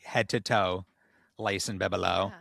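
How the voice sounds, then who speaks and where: A man's voice: deep voice, fairly even intonation, measured pace, gravelly, fairly bright tone, no room sound, clean studio sound. A man co-hosting a podcast, with a playful, theatrical way of reading and chatting. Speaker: podcast co-host. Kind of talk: casual chat and dramatic reading of a comic erotic story.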